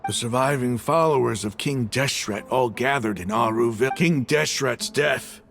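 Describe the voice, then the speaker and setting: A man playing a proud, stubborn mercenary leader in a video game, speaking in a halting, emotional way. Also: medium pitch, vocal fry, fairly bright tone, faint music behind. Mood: disbelieving, shaken. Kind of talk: dramatic video game dialogue.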